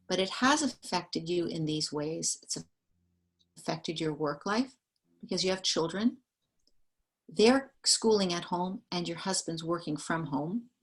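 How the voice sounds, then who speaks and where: A woman conducting a structured survey interview, calmly reading questions and summarizing the answers back. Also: on the low side, unhurried. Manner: calm, methodical, reads questions and summaries back.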